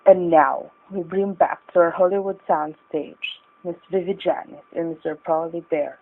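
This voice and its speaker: A woman's voice: fairly low pitch, fairly even intonation, moderate pace, music in the background. A woman announcing a radio suspense show in a dramatic style. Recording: thin phone-like band, faint noise.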